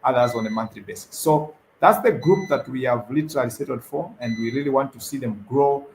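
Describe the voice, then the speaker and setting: A man's voice: medium pitch, fairly quick, slight background noise, poor audio. A young man from Zambia answering as a guest on a panel, hesitant, repeating words and using many fillers. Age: young adult.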